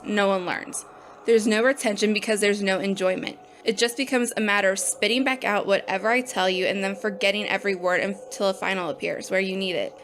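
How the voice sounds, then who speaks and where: A woman's voice: mid-range voice, fairly bright tone, a little hiss or hum, natural voice. A woman voicing an opinionated botany teacher who lectures bluntly and scoffs at textbooks. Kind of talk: audio drama acting.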